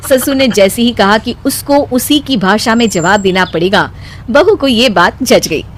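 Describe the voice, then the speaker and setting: A woman's voice: fairly high pitch, lively intonation, a little slow, slight background noise. A woman narrator reading a scripted domestic comic story.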